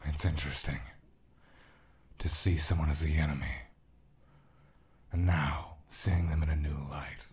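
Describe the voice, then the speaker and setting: A man playing a calm, patient ancient spirit confidant in a fantasy audio drama, measured and gently probing. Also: very deep voice. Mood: curious, gently teasing.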